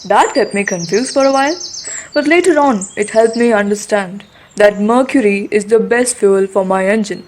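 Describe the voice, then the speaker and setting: A woman's voice: mid-range voice, normal speed, a little hiss or hum, natural voice. A woman playing an earnest, proud Indian male inventor in a school puppet show, explaining things like a storyteller.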